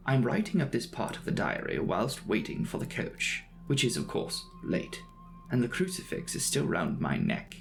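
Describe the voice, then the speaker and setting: A man narrating a classic novel as a dramatic audiobook, with measured, formal, theatrical phrasing. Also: medium pitch, huge pitch swings, creaky, over music.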